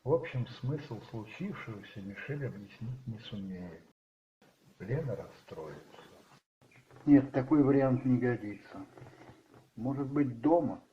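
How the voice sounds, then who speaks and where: A man narrating a radio play as dramatic literary storytelling, musing and rhetorical, with dramatic pauses. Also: medium pitch, expressive, slight background noise. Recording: roomy, slightly muffled, with music behind.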